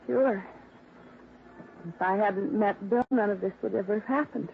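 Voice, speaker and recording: An actress playing an anxious, tender young daughter in a 1950s radio drama, speaking with earnest emotion. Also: female voice, medium pitch, moderate pace, gravelly, close to an everyday voice. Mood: wistful, sad. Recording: tinny, faintly noisy old radio recording.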